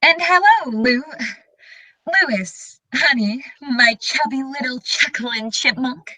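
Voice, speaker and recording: A woman acts a glamorous, gushing flirt in a radio comedy. Her voice is fairly high and bright, and she takes her time. She coos every endearment and draws it out. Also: no echo, heavily acted, low-quality recording. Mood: flirty, gushing. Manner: cooing, drawn-out endearments. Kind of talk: radio comedy sketch acting.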